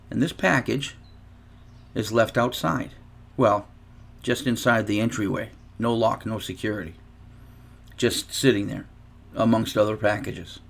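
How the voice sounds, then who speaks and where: A man's voice: medium pitch, creaky. A man chatting casually in an unboxing vlog, telling a long anecdote with asides and restarts. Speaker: guitar reviewer.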